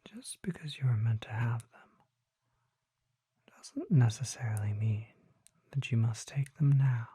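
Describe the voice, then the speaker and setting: A mysterious vampire apothecary, acted by an ASMR performer, whispers with solemn patience. The delivery is slow, deliberate and formally worded. The sound is very soft and dark, close and dry, full of breath. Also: medium pitch, expressive, slightly rough, dry recording. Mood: solemn, gentle.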